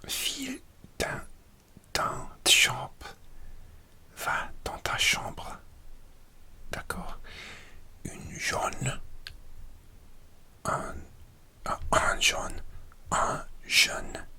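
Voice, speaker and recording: Guy whispering asmr style, super slow, very bright tone, trips on words. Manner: slow, careful whisper, hesitant over unfamiliar words. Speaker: learner presenting dialect rules.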